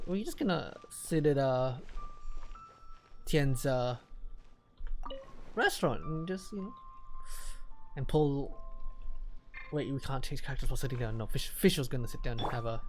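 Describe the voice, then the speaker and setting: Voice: medium pitch, animated, normal speed, long pauses. Rambling game streamer with music behind. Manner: rambling, repeats phrases while thinking aloud. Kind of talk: casual live gameplay commentary.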